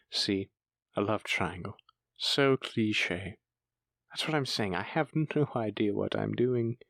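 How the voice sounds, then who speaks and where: A man's voice: lowish voice, slow, creaky, long pauses, fairly bright tone, dry recording, clean studio sound, full character voice. Flustered, tender man acting as a bashful dragon boyfriend. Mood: shy, confessional. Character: bashful, doting male dragon lover, bookish and flustered. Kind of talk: romantic fantasy audio roleplay, addressing the listener.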